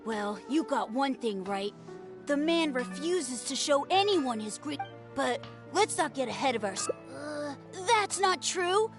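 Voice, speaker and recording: A woman voicing a cocky, excitable little boy who fancies himself a mighty warrior, boastful and dramatic, in a video game. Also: high voice, unhurried, over music, full character voice.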